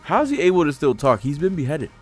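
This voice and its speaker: A man's voice: fairly high pitch, big pitch swings, quick pace, breathy edge, faint music behind. A young American man giving casual, profane, slang-filled live commentary as a manga reaction host, speaking expressively into a close desktop microphone. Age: young adult. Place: cluttered room at home. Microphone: desktop microphone close in front.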